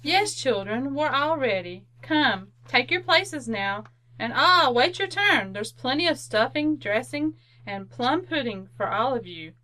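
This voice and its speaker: A bustling, outspoken mother of a poor household, fond and plain-speaking. Her voice is high and bright, swinging widely in old-time radio style. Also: big pitch swings, measured pace, exaggerated voice.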